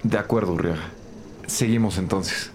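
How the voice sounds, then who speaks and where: An actor playing an earnest, serious police investigator in an audio drama. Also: male voice, sits low, normal speed, a little mellow. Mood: serious, determined. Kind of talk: Spanish-language audio drama acting. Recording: clear background noise.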